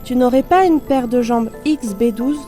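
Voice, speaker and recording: A woman playing a damaged robot unit in audio fiction, speaking formally and precisely, polite, analytical and gently naive. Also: a little high, moderate pace, faint music behind, close to an everyday voice. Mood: gentle, musing. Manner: formal, clipped system announcements and precise explanations. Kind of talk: scripted audio fiction monologue.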